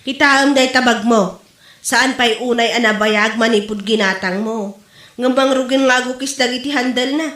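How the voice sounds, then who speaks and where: Woman acting a boastful indignant wife, Ilocano accent, higher-pitched voice, scolding comedy delivery, roomy reverberant sound. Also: normal speed, fairly bright tone, close to an everyday voice. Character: proud, indignant wife boasting of her genuine luxury bag.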